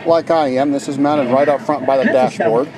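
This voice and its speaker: A man's voice: medium pitch, fairly even intonation, normal speed, over a noisy crowd, rough recording. A man giving a casual how-to demonstration inside a motor home, talking in a folksy, plainspoken way with fillers and restarts, recorded on a handheld camcorder. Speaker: DIY video maker.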